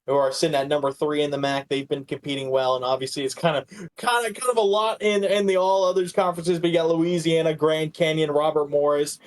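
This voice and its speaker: Guy on a sports podcast, kinda high voice, stumbles and repeats words as he goes. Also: steady tone, brisk. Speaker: podcast co-host. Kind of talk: casual sports commentary.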